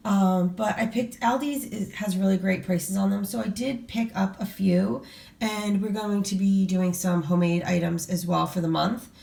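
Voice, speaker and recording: A middle-aged woman with a hoarse voice from a cold, chatting casually to viewers with lots of fillers and restarts. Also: medium pitch, fairly even intonation, moderate pace, darkish tone. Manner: rambling, many fillers and restarts. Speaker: vlogger showing a grocery haul. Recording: echoey room, voice a bit far from mic.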